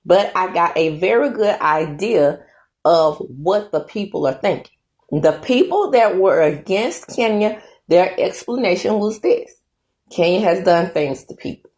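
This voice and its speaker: A woman's voice: fairly low pitch, expressive, a little slow, darkish tone. A woman hosting her own commentary channel, giving her opinions in a chatty, emphatic way and tagging her points with "okay?".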